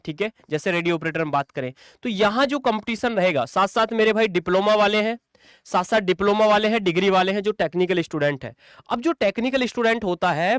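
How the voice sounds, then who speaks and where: A man's voice: sits high, clean tone, no room sound, clean studio sound. A man giving an informal exam-coaching lecture, repeating points for emphasis and addressing students as brothers and friends. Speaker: online exam-coaching teacher.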